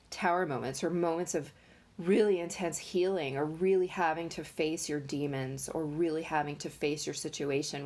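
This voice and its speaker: Low-pitched woman doing a tarot reading, nasally and congested, talks slow with lots of pauses. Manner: rambling, many fillers and pauses. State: congested from allergies. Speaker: tarot reader. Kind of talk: casual spoken tarot reading.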